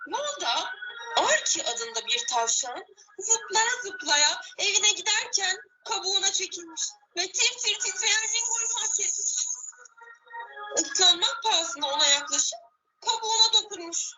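A woman teacher telling a story to children in an animated, expressive way, with drawn-out repetitions. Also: extremely high pitch, faint music behind, low-quality recording. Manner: animated, drawn-out repetitions, addressing young listeners.

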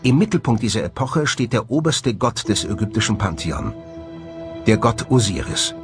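A man presenting scripted documentary narration in an expressive, dramatic manner. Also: fairly low pitch, normal speed. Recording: music under the voice. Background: music.

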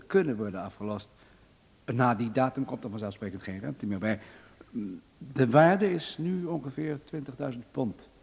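Husky irascible rich boss, imperious, gruff, radio play, long reverb. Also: male voice, medium pitch, a little mellow. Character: irascible, domineering wealthy older male boss. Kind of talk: Dutch radio drama acting.

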